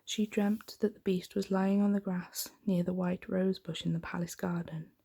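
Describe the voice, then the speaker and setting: Female ASMR storyteller: low, mellow, gently creaky voice, soft and hushed bedtime narration. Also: fairly even intonation, moderate pace.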